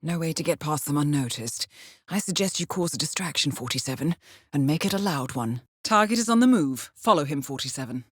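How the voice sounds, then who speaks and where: An actress playing a cool, composed intelligence handler in a video game, briefing an assassin with articulate dry wit. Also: female voice, low-pitched, expressive, fairly quick, slightly rough, dry recording. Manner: composed, dryly witty, articulate. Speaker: mission handler.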